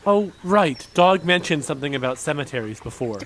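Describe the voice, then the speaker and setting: A man's voice: on the high side, expressive, brisk, fairly bright tone, slight background noise. A man playing an easygoing, slightly nervous young friend in an audio drama.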